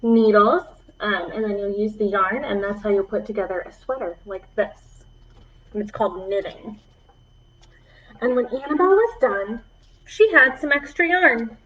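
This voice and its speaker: A woman's voice: mid-range voice, moderate pace, fairly bright tone, dull audio. A woman children's librarian reading aloud to preschoolers, with encouraging asides to the little listeners. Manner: encouraging, addressing small children.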